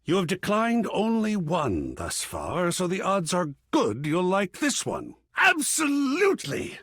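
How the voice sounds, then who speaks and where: Wildly swooping, effusive art curator. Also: male voice, high-pitched, huge pitch swings, a little slow, a bit bright, breathy edge, no echo, clean studio sound, exaggerated voice. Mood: thrilled, bold. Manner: effusive, erudite, precisely enunciated, dramatic. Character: excitable, cultured art object come to life, gallery curator. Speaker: video game character. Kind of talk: theatrical game dialogue.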